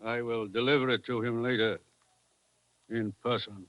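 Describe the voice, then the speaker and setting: An actor playing a secretive, menacing stranger in a 1940s radio drama, terse and ominous. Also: male voice, medium pitch, steady tone, normal speed, loud music behind the voice, natural voice. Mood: quiet menace. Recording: dull, very noisy vintage radio recording.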